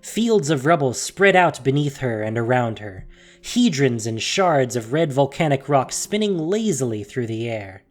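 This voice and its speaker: A narrator reading a dramatic audio story theatrically, with deliberate pauses and hushed emphasis, in a scripted style. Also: mid-range voice, sing-song. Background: music.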